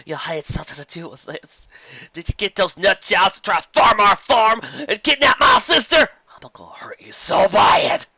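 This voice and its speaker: A man voicing a furious, distraught country farm mare, a protective older sister in a story, with loud, strained, blustering folksy delivery. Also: high voice, expressive, moderate pace, vocal fry, slightly rough, dull audio, pitched above the performer's own voice, exaggerated voice. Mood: menacing.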